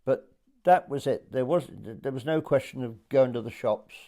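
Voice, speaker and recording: An Englishman in his eighties from Dorset, recalling his childhood for an oral history interview in a hesitant storytelling way with pauses. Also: mid-range voice, dark tone. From Dorset, England. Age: 80s.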